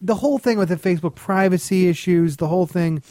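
A man's voice: sits high, fast talker, mellow, no echo, studio quality. A man giving casual comedic podcast commentary as a guest co-host, sardonic and opinionated.

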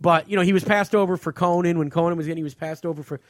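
A man with a noticeably high voice talks casually as a podcast host. He is blunt and profane as he offers his opinions, and the sound is close and dry. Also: fairly even intonation, rapid-fire, no room sound. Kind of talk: casual opinionated entertainment chat.

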